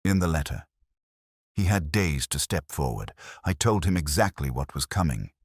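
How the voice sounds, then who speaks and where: A man voicing a quiet, resigned, remorseful male suspect making a halting confession in a mystery story. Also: a bass voice, animated, husky, dry recording, clean studio sound, exaggerated voice.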